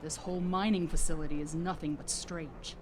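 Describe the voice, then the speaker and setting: A weary refugee biochemist stuck far from home, played by a man for a game. His voice sits a little high and stays matter-of-fact, and a clear background noise runs under it. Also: steady tone, a little fast, lightly acted. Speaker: game character.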